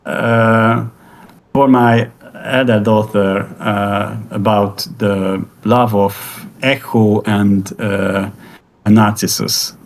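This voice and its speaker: Slow, halting man with Hungarian accent. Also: lowish voice, little pitch movement. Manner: halting, searching for English words, many fillers. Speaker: guest musician. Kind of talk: casual conversation.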